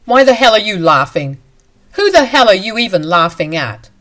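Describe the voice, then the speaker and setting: A loud, scornful husband who gives orders and will not be questioned, read by a woman narrator. The voice sits a little low and stays forceful and demanding. It belongs to a spoken drama story. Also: lively intonation, normal speed. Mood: angry. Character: angry domineering husband, entitled and scornful.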